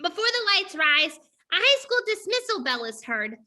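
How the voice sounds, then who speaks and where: A woman's voice: extremely high pitch, sing-song, normal speed, pushed and bright. A woman reading stage directions as scripted narration for a play reading.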